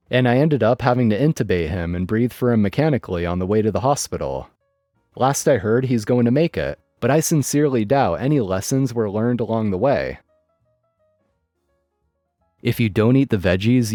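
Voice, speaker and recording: A man narrating online stories in a lively, dry storytelling manner. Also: fairly low pitch, dry recording.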